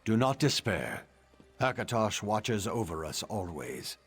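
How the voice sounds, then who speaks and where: Solemn male devotee for a game: slow delivery, expressive pitch, music underneath. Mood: solemn, consoling. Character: solemn, consoling male religious cult follower. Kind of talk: video game character dialogue.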